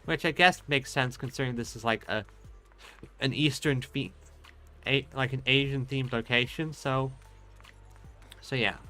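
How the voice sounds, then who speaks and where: Male let's-play host: forward, bright tone, rambling casual delivery, faint game music underneath. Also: medium pitch, steady tone, measured pace, long pauses. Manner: rambling, hesitant thinking aloud. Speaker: game streamer. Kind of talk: casual let's play commentary, reading dialogue aloud.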